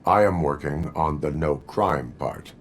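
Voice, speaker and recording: An actor playing a stoic cyborg police officer, speaking in a formal, clipped, deadpan way for a video game. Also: male voice, extremely low pitch, measured pace, a little hiss or hum. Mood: stoic, flat. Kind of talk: video game cutscene acting.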